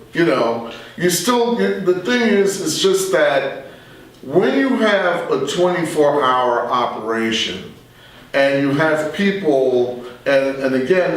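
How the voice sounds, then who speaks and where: An American man in his sixties speaking as a veteran radio journalist in a reflective interview, measured and halting with emphatic asides. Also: medium pitch, recorded from a distance. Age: 60s. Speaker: veteran radio journalist and talk show guest. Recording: very echoey room, off the mic.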